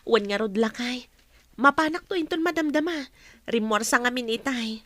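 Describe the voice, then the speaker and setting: Actress as high-voiced earnest young Ilocano wife. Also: female voice, normal speed, fairly bright tone, dry recording, lightly acted. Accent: Filipino, Ilocano. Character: earnest young wife, a farm-produce seller troubled by suspicion. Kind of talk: radio drama acting. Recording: music bed under radio drama dialogue.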